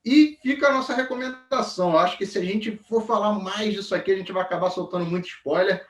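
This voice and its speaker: High-voiced Brazilian man, roomy sound. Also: fast talker. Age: 40s. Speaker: co-host of a comics review channel. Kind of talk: casual review chat. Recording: roomy echo, distant mic.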